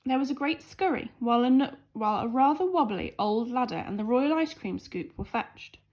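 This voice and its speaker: A woman's voice: fairly high pitch, expressive, normal speed, a little mellow, muffled recording. A woman teacher reading a storybook aloud to young children with dramatic, emphatic expression.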